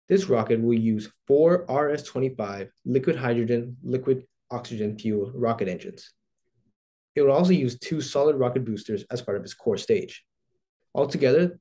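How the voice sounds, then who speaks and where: A man's voice: medium pitch, mellow. A teenage boy from Texas giving a scripted school presentation over a video call, reading steadily from his notes. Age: teens. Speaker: student presenter.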